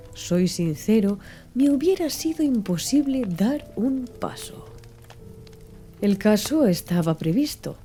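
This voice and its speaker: Female audiobook narrator, very dark soft-edged tone, lively melodic delivery, music underneath. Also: medium pitch. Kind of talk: scripted literary audiobook narration.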